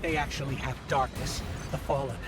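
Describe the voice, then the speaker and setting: A man's voice: medium pitch, fairly even intonation, fairly quick, natural voice. A man playing a small, anxious and earnest robot companion in a video game, speaking in urgent, clipped phrases. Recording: loud music under the voice.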